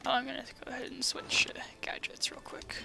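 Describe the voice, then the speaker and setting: Creaky, half-whispering woman game streamer. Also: high voice, monotone, fairly quick. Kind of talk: casual live gameplay commentary. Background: game music.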